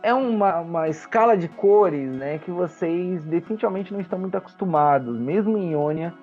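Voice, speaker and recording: Higher-voiced Brazilian man narrating over music. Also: animated, brisk, a little mellow. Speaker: game master. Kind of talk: narrating a tabletop fantasy roleplaying game. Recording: dull lo-fi audio under music. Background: background music.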